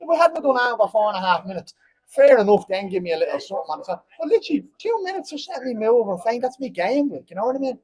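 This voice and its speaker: A man's voice: extremely high pitch, sing-song, very fast, darkish tone, low-quality recording. A man in his twenties with a Dublin Irish accent, talking bluntly and heatedly at a post-fight press conference, rambling and often asking "you know what I mean". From Ireland. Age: 20s.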